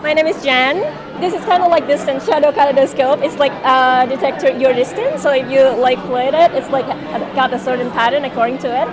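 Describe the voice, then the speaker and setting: A woman with a high voice casually explains her piece, filling gaps with likes. A crowd chatters clearly behind her. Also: a little fast. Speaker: student presenting her project. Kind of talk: exhibition demo explanation.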